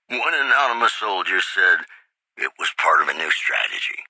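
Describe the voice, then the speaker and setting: A slick retro radio dj for a wasteland game, reads the news all charming and showy, deepish voice, swoops around a lot. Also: male voice, bright, forceful tone, slightly rough, no echo, exaggerated voice, rough recording. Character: suave male radio DJ and newscaster in a post-apocalyptic game. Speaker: radio host and news announcer. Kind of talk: scripted radio news bulletins, retro lounge-DJ patter.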